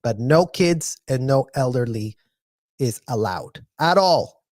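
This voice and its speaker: A man's voice: medium pitch, animated, takes it slowly, no echo, clean studio sound. A man hosting a livestream discussion, speaking in an adamant, impassioned way. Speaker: channel host.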